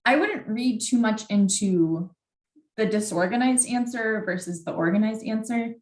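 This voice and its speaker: A woman's voice: a little high, lively intonation, a little slow, a little mellow. A young adult woman giving informal advice as a graduate student mentor in a workshop, conversational and candid, often saying "like". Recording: roomy, echoey sound.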